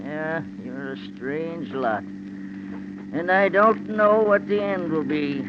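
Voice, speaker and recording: An actor playing a fretful, folksy old factory worker in a 1940s radio drama, querulous and rambling. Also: male voice, a little high, expressive, slow pace. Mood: folksy, grumbling. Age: older. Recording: noisy, dull old radio recording.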